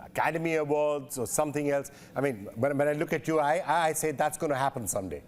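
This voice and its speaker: A male news anchor putting formal, measured questions to a guest in a broadcast interview. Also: on the high side, slight background noise.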